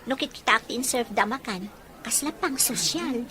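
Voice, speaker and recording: Woman acting young woman in love, radio drama, slightly high voice, gentle, coy, teasing. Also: lively intonation, moderate pace, crowd noise, lightly acted.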